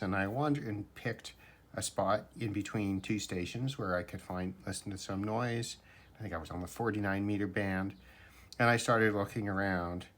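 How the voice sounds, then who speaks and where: Low-voiced man telling a casual story. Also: a bit bright. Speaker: shortwave radio hobbyist vlogger.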